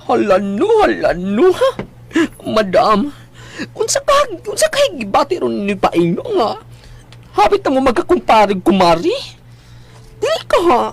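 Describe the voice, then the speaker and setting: A woman playing an excitable, gossipy, campy confidant in a radio drama, with a Visayan Philippine accent and exaggerated, drawn-out delivery. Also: a little high, exaggerated voice. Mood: sly teasing. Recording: slight background noise.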